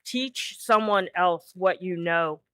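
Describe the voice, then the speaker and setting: A woman closing her remarks at a chapter dinner, speaking deliberately and earnestly. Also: fairly low pitch, sharp tone, clean tone, no room sound.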